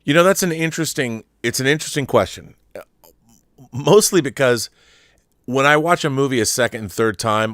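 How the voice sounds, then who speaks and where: A man's voice: medium pitch, fairly bright tone, no room sound, studio quality. A man hosting a live talk show, chatting casually about a viewer's question and repeating words for emphasis.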